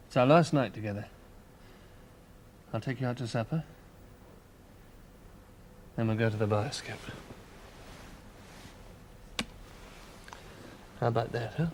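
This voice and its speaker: An actor playing a wry, teasing bohemian gentleman painter in a period drama. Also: medium pitch, moderate pace, pauses often, slight background noise, natural voice. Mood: soothing. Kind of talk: period television drama acting.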